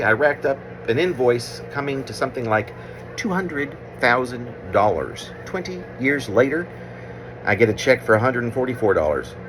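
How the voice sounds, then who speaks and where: A man reading his own autobiographical poem aloud as a poet, phrasing deliberately with pauses mid-sentence, in a noisy recording. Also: sits low.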